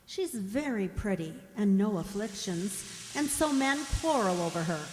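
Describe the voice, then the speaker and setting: Woman as a timid young girl, slow and expressive, echoing. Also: medium pitch, slight background noise, natural voice. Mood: anxious, hushed. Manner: breathless gasps, wistful, fearful. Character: timid, gentle young mute woman's anxious inner voice. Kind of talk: old-time radio drama acting. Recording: echoey hall, long reverb.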